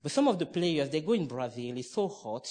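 Man from Cameroon, middle-aged preacher, emphatic sermon, slightly high voice. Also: very animated, a little fast, a little mellow. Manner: emphatic, with frequent restarts and asides.

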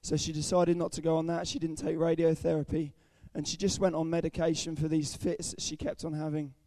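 A young man with a croaky, under-the-weather voice giving an earnest personal testimony at a church service, with frequent ums. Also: fairly high pitch, flat delivery, fairly quick, warm tone, no echo. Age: young adult. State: hoarse, croaky voice from illness. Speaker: student speaker at a church service.

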